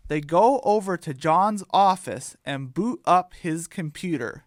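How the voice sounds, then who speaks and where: A man's voice: on the high side, expressive, measured pace, no echo, studio quality. A man reading scripted narration for a comic audio story as its storyteller.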